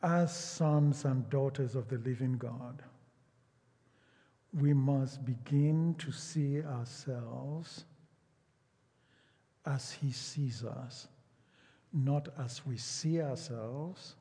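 Husky slow-preaching man. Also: mid-range voice, dark tone, in a large hall. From Nigeria. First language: Yoruba. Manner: slow, emphatic preaching with drawn-out phrases and repetition. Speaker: pastor. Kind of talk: sermon.

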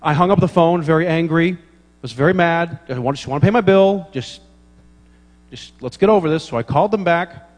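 A man preaching in church, his voice a little higher than most. He tells comic stories and acts out the dialogue as he goes. The sound carries a long church-hall reverb. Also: fairly quick, soft background music. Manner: animated storyteller, acts out dialogue, laughs at own jokes. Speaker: pastor. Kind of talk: sermon with humorous stories.

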